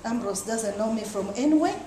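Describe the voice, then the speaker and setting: A woman's voice: on the high side, animated, a little fast. A woman, an audience member insistently pressing and rephrasing her question. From Zimbabwe. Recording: echoey room, noisy.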